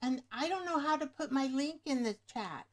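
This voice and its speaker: A woman hosting a casual craft livestream, rambling and pausing to search for words, speaking into a webcam microphone. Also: sits high, expressive. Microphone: webcam microphone above the screen.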